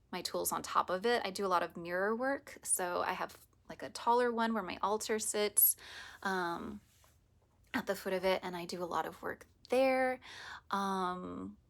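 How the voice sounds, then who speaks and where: Raspy tired-voiced woman chatting about her reiki stuff, gravelly, drifts into whispers. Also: on the low side. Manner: soft, meandering, often drops to a whisper. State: hoarse from teaching all day. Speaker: reiki practitioner. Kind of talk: casual spiritual vlog talk.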